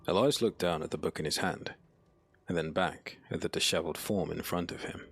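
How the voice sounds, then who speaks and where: A man narrating a dark fantasy audiobook in a solemn, measured, dramatic manner. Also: sits low, creaky, slightly rough, soft background music.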